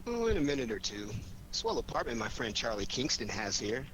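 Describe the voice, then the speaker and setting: An easygoing American sleuth from a 1940s radio play, tossing off flippant wisecracks. His pitch swings widely through the teasing banter, and the old recording is noisy. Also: very animated, a little fast, a bit bright. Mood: wry, relaxed.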